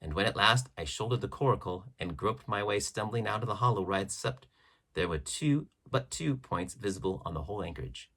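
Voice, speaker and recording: A man reading a classic adventure novel aloud as expressive, measured audiobook narration with emphatic phrasing. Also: on the low side, slightly rough. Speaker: audiobook reader.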